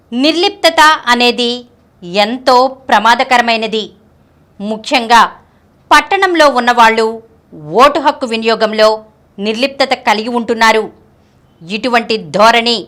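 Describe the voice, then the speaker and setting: A woman reading a news bulletin in a steady, formal newsreader manner. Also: on the high side. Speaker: news reader.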